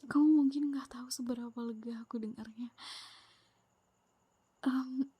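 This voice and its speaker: A young woman voicing a clingy, possessive, jealous girlfriend in a whispered ASMR roleplay, soft, sweet and needy, close to the microphone. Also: on the high side, fairly even intonation, normal speed, slightly rough, no echo. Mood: pleading.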